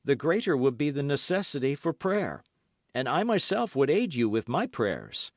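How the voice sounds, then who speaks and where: A man plays a grave young officer, devout and unbending, whose words come serious and measured. The delivery is lively in pitch and close and dry. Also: no echo.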